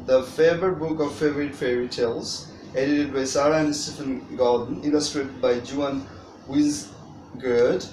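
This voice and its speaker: Adult storyteller, hesitant and wordy, casual delivery to a child, distant mic with clear noise. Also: medium pitch, fairly even intonation, a little mellow. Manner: hesitant, wordy, frequent long pauses. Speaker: storyteller guest reading to a child. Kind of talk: casual unscripted introduction to storytelling. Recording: echoey room, distant mic, noisy.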